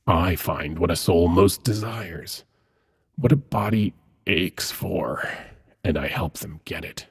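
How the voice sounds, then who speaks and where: A man playing an ancient, seductive demon prince in an intimate ASMR roleplay, hushed and coaxing, with dramatic pauses and a husky, breathy voice. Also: low-pitched, exaggerated voice. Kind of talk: ASMR roleplay, intimate second-person monologue.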